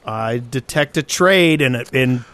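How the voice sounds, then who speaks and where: Regular guy voice, middle pitch, co-hosting a casual podcast chat. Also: expressive, takes it slowly, a bit bright, no echo. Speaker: podcast co-host.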